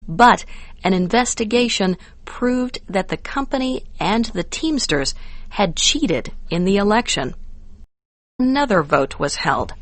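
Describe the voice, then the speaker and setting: American woman, slow deliberate reading, careful diction, mid-range pitch, dry studio-like sound. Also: dry recording. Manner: measured, careful enunciation. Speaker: narrator of an educational program for English learners. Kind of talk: slow scripted narration.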